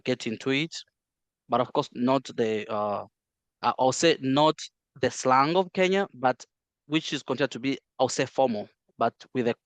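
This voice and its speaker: A man from the Democratic Republic of the Congo whose first language is Swahili, speaking English in an interview, measured and full of "uh" fillers and restarts. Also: medium pitch, no echo. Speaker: interview guest, healthcare interpreter. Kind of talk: casual interview, recounting his life.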